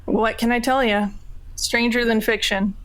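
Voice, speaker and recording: A woman's voice: a little high, level tone, a little slow, fairly bright tone, rough recording. A woman playing a tabletop role-playing game, improvising casual dialogue in a wry, slightly hesitant way. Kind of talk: casual in-character improvised chat.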